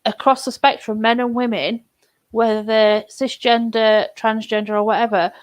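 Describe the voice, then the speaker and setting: A woman's voice: on the high side, little pitch movement, moderate pace. A woman hosting a casual group chat, asking thoughtful questions with frequent restarts.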